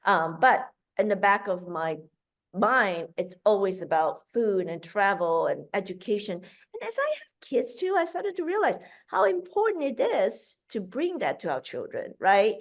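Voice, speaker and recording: A woman from Malaysia speaking in an interview as a guest. Her talk is animated and full of fillers and restarts. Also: mid-range voice, unhurried. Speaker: guest, founder of a kids' cooking program.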